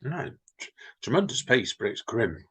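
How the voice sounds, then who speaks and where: A man's voice: mid-range voice, sing-song, normal speed, fairly bright tone, husky. A man, co-hosting a podcast, reading stories and limericks aloud and chatting with wry teasing. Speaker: podcast co-host and reader. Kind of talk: reading poems and casual chat.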